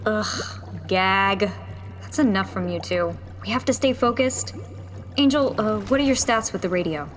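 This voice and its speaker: A woman playing a tense young rebel leader who talks brusquely and is quick to panic. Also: mid-range voice, expressive, lightly acted. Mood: determined. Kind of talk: audio drama acting.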